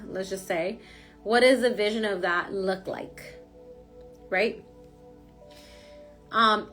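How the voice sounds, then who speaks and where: A woman's voice: fairly high pitch, fairly bright tone. A woman giving a casual, rambling tarot reading with lots of fillers, her voice stuffy from a cold. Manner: chatty, rambling, frequent fillers. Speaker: tarot reader.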